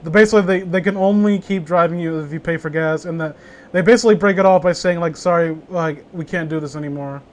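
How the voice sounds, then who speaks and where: A man's voice: fairly high pitch, fairly even intonation, fast. A man chatting casually as a streamer, rambling and opinionated, with plenty of swearing. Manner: rambling, profanity-laden, opinionated. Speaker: streamer hosting a group story reading. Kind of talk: casual chat.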